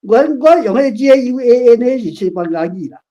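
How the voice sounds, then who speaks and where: Mellow, casual Taiwanese meeting participant. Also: medium pitch, lively intonation, a little fast, lo-fi sound. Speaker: participant volunteering for parts. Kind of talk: casual online meeting chat.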